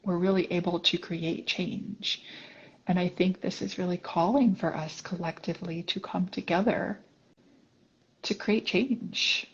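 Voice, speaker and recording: A woman hosts a heartfelt conversation, her voice somewhat low and husky. She speaks earnestly, leaning into each point. The audio is dull and lo-fi, like a remote call. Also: steady tone, a little slow. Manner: earnest, affirming, many soft agreements. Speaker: podcast host. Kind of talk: reflective conversation.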